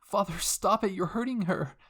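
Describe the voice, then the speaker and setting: A man's voice: high-pitched, normal speed, fairly bright tone, voice pushed up. A man voicing a distressed young royal daughter who pleads and questions in a dramatic anime fan fiction reading. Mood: alarmed.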